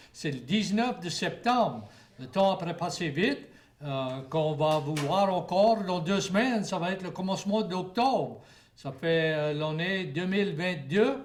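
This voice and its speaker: A man with a Louisiana Cajun French accent hosting an interview, halting and full of fillers. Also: fairly high pitch. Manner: halting, many fillers and restarts. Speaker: host. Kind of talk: broadcast interview.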